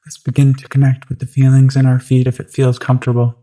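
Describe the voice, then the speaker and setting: Meditation guide: mid-pitched, very flat delivery, gentle and soothing, unhurried with roomy gaps between phrases.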